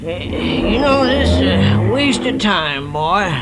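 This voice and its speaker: An actor playing a folksy, flustered American air show boss in a 1940s radio drama, blustery and hesitant. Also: male voice, very animated, takes it slowly, heavily acted. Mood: bothered, folksy. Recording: very noisy old radio, car rumble.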